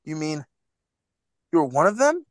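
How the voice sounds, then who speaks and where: A woman playing an anguished, fearful prisoner under torture in a radio drama, with halting, pleading, broken delivery. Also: deep voice, sing-song, no echo, clean studio sound. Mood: shocked, wary. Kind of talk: theatrical radio drama acting.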